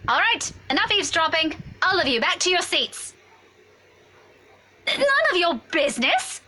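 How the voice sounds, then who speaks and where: Woman acting high-voiced, feisty young woman. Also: expressive, normal speed, bright, forceful tone, heavily acted. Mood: brisk. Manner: brash, plain-spoken. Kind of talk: visual novel character acting. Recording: music under the voice.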